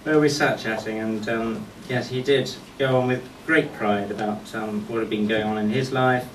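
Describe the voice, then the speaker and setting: A middle-aged man giving a eulogy as a speech of humorous anecdotes, told in a wry, unhurried way. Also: on the low side, fairly even intonation. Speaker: speaker giving a memorial tribute. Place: indoor function venue at a golf club, before an audience. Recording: echoey room, distant mic, faint noise.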